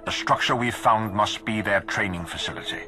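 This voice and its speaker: An actor playing a grim, imperious dark lord in a video game, speaking in a solemn, measured and commanding manner. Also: male voice, fairly low pitch, music in the background, lightly acted. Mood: grave, commanding.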